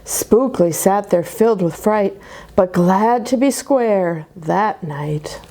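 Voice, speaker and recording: Lady reading a kids' rhyming story real slow, lots of expression and little whispers. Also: medium pitch. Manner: expressive rhyming read-aloud with dramatic whispers. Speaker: children's storyteller. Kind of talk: reading a picture book aloud to children. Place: church.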